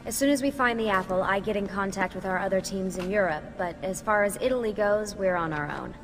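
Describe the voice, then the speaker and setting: An actress playing a matter-of-fact, brisk leader of an assassin team in a video game. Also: female voice, mid-range voice, a little hiss or hum, lightly acted. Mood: businesslike. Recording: echoey hall, music behind.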